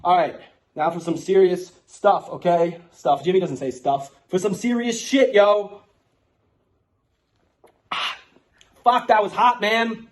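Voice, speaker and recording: A man's voice: high-pitched, slow, pauses often. A man playing a brash, streetwise, foul-mouthed Bronx guy with a New York accent. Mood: brash, grumbling. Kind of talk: casual ranting and mock-solemn declaration reading.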